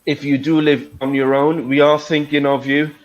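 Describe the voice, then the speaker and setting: A man's voice: a little high, level tone, normal speed, dull audio. A middle-aged man hosting a conversational online English lesson, phrasing things hesitantly with tag questions. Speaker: English teacher, webinar host.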